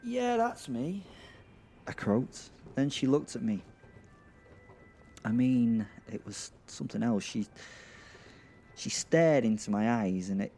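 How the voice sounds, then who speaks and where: Slow, mellow man narrating, halting and informal. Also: mid-range voice. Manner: informal, halting, self-deprecating. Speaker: narrator and podcast host. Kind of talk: first-person audio drama storytelling and informal address.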